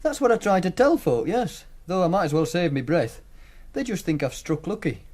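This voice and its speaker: Radio drama role: young Northern English lad, cocky and dry-witted, high voice, lively intonation. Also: male voice, normal speed. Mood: guarded, wry. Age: young adult. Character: Mark, cocky young student with a betting knack. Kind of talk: naturalistic radio drama dialogue.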